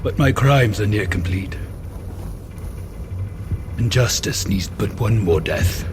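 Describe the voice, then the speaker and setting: A remorseful monster in an audio drama, speaking slowly over clear background noise. The delivery is grand and bitter, with anguish in a solemn, old-fashioned declamation. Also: male voice, fairly low pitch, lively intonation. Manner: slow, solemn, archaic declamation.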